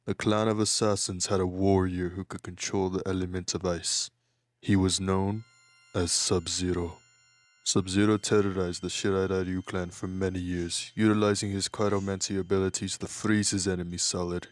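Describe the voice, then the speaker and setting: Man acting a low, solemn warrior father. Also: little pitch movement, moderate pace, no echo, lightly acted. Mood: solemn storytelling. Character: devoted ninja father, brooding skilled warrior, telling a bedtime legend. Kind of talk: dramatic storytelling in an audio drama.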